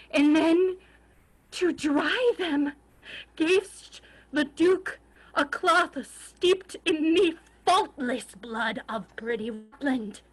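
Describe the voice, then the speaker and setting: A woman's voice: fairly high pitch, poor audio. A woman playing a contemptuous royal villain, declaiming accusations in classical stage verse. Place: remote video-call staged reading.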